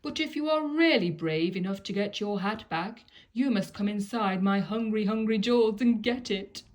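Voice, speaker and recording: A woman's voice: medium pitch, normal speed, a little mellow, natural voice. A woman voicing a sly, hungry male crocodile in a storybook, with a drawling delivery. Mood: greedy, menacing.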